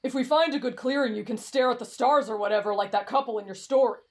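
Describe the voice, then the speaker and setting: A woman's voice: fairly high pitch, quick pace, natural voice. A woman voicing a brash, reckless teenage boy in an anime-style roleplay drama, cocky, blunt and dismissive. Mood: eager, bold. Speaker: voice actor in roleplay audio.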